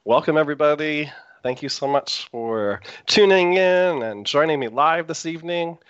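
A man's voice: on the high side, lively intonation, moderate pace, a bit bright, clean tone, no room sound, muffled recording. A man hosting a live internet radio talk show, chatty and meandering with frequent fillers, speaking through a sore throat.

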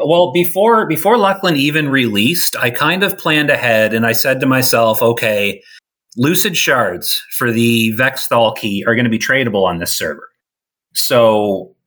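A man talks through his ideas at length in a relaxed podcast chat. His voice is bright and forward, and it is a little worn from losing it. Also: mid-range voice. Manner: thoughtful, explains at length with restarts. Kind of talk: casual discussion.

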